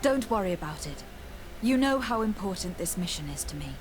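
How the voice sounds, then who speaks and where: A woman's voice: mid-range voice, lively intonation, a little fast, a little hiss or hum, lightly acted. Woman acting an earnest, composed noblewoman diplomat. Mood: resolute. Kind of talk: video game dialogue.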